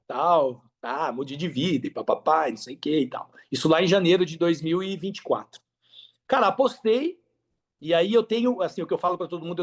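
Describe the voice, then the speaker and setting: Brazilian guy podcast guest, kinda high voice, talkative, slangy candid storytelling. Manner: talkative, peppers speech with tag questions and slang.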